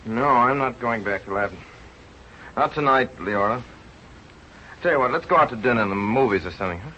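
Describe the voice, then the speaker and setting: An actor playing an earnest, self-doubting young research doctor, in a 1930s radio drama. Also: male voice, medium pitch, animated, a little fast, sharp tone, a little hiss or hum. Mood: weary, discouraged. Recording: dull old radio recording.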